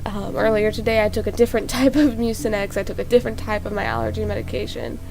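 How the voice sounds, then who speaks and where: A woman's voice: on the high side, steady tone, fast, gravelly. A young woman with a sore, congested throat, rambling casually as she comments on a game she is playing. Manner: rambling, chatty, with fillers. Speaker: gaming YouTuber. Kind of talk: casual let's-play commentary. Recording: loud game music behind.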